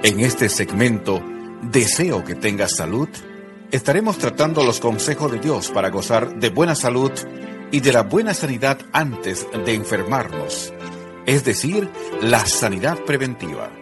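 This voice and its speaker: A man's voice: medium pitch, big pitch swings, a little slow. A man presenting a segment in scripted religious narration. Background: music.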